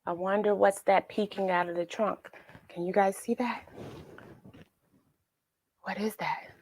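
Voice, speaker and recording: A woman hosting a children's read-aloud, narrating a storybook expressively with emphasis and questions to young listeners. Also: mid-range voice. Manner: expressive, emphatic, dramatic pauses.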